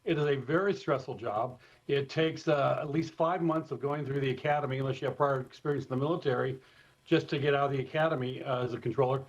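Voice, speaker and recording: A man with an American accent explaining things informally and in measured fashion as an expert guest in a live interview, over a remote feed. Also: medium pitch, fairly even intonation. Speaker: expert guest, former airport communications director. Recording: dull, slightly lo-fi remote feed.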